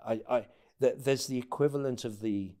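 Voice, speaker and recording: A man speaking as an interview guest in casual anecdotal talk, often halting and repeating words as he goes. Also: mid-range voice, darkish tone. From born in North Africa, has lived in England and the United States. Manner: halting, stammered repetitions of words. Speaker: interview guest, former presidential candidate.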